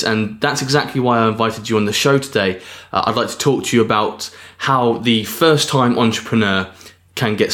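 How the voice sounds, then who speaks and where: A man hosting an online marketing podcast, introducing a guest in a clear, scripted way. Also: medium pitch, fairly bright tone. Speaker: podcast host.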